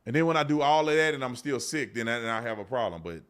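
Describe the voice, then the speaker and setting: A man's voice: medium pitch, lively intonation, fast, a bit bright, clear, resonant tone. A middle-aged man hosting a live commentary show, chatting casually and colloquially to viewers and reading out their messages. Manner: conversational, colloquial, addressing viewers directly.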